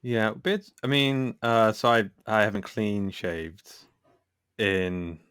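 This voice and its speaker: A man with a fairly low voice speaks as a podcast guest. His talk is casual and joking, recorded close and dry with no room sound. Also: lively intonation, slow, a bit bright, clean tone, dry recording. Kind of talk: casual jokey chat.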